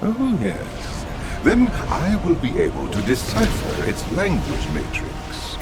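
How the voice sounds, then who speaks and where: An actor playing an eccentric, eager scientist in a video game, with a savoring, theatrical delivery. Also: male voice, a little high, huge pitch swings, takes it slowly, over loud music, heavily acted. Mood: eager, gloating. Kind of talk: video game cutscene acting.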